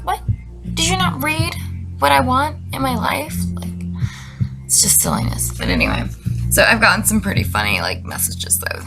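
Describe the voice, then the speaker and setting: A woman's voice: on the high side, vocal fry. A woman giving a casual comedic monologue in a slangy, sarcastic way with plenty of swearing. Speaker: vlogger reading out messages she received.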